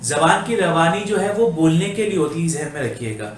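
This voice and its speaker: Male English teacher, earnest and instructive, fairly high voice, roomy echo. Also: expressive, moderate pace, a little hiss or hum. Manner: earnest instructive, mixes English phrases into Urdu talk. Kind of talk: spoken English lesson vlog. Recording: echoey room with faint music.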